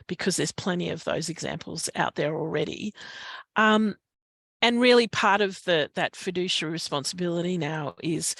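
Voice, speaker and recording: A woman's voice: fairly low pitch, fairly bright tone, no echo. Australian woman with a tiring voice. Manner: hesitant, restarts and fillers, conversational advice. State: losing her voice, coughing. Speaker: guest speaker on climate reporting. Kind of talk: online webinar Q&A.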